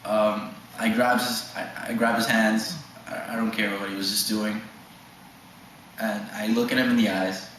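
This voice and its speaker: Halting eighteen-year-old man, echoey room. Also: lowish voice, little pitch movement, fairly bright tone. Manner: halting, stammering repeats. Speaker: student interviewee. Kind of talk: mock documentary testimony. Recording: echoey room with faint noise.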